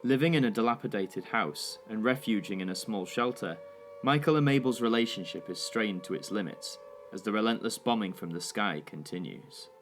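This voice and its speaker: A man's voice: lowish voice, normal speed, a bit bright. A man reading scripted narration for a wartime audio drama.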